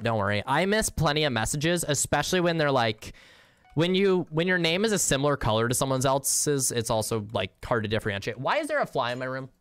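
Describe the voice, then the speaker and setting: A young man livestreaming a game who talks easily with his chat, using slang and the odd swear. His voice sounds smooth and clear, at a middle pitch. It is recorded on a close mic in a dry space. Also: fairly bright tone, no room sound. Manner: chatty, slangy, swears casually. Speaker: streamer.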